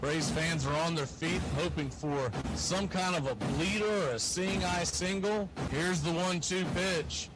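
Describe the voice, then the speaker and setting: A man calling baseball play-by-play with showy, drawn-out excitement and colourful catchphrases. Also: fairly high pitch, over music, rough recording. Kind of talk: excitable sports commentary with catchphrases.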